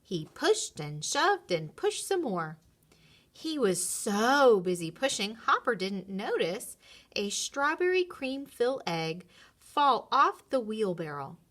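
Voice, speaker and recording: A woman narrating a children's story in an expressive read-aloud style. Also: on the high side, takes it slowly.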